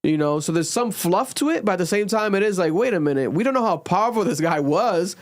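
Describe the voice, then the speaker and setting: A man's voice: fast, no echo, studio quality. Upbeat young man hosting a podcast. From Rhode Island, USA. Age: 20s. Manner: animated, swings high when joking. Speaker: podcast host. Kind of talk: casual podcast chat. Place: home recording during a blizzard.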